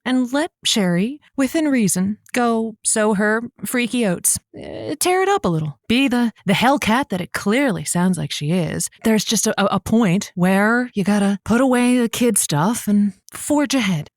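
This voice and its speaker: A woman's voice: mid-range voice, expressive, measured pace, few pauses, no echo, clean studio sound. A woman playing a folksy, sympathetic radio advice host who talks in playful, colloquial turns of phrase. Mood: encouraging, playful. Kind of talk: improvised radio advice show drama.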